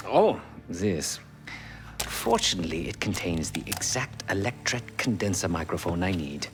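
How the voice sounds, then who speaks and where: An actor playing a fussy, courteous, sly tech expert in a video game, speaking formally and theatrically with precise diction. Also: male voice, low voice, sing-song, a little slow, over music. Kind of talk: video game cutscene acting.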